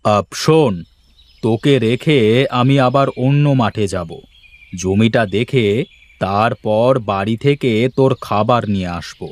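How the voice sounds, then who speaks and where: A man's voice: lowish voice, unhurried, dry recording, lightly acted. A man voicing a bossy, evasive village landowner in a cartoon, with a casually commanding, drawling manner. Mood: instructing. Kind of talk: Bengali moral-story cartoon voice acting.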